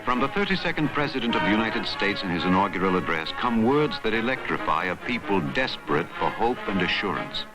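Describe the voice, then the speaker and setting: Deep, dramatic male documentary narrator. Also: very animated, low-quality recording. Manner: dramatic, solemn, measured phrasing. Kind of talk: scripted historical documentary narration, mid-century newsreel manner. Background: music.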